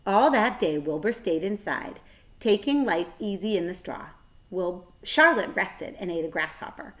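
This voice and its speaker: Expressive woman reading a children's story. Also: lowish voice, measured pace. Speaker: teacher reading aloud. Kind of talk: children's story read-aloud narration.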